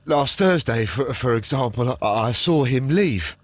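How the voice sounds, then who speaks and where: A haunted, anxious male councillor in a video game. His medium-pitched voice trips over words in a heavy stammer, hesitant and full of apology. The sound is dry and studio-clean. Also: lively intonation, measured pace, dry recording. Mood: fearful, agitated. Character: nervous, haunted, guilt-ridden male councillor and archaeology enthusiast. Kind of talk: scripted video game acting.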